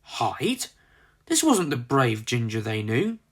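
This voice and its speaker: Storyteller, scripted children's narration, dramatic, very lively intonation, mid-range voice. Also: medium pitch, slow, breathy edge.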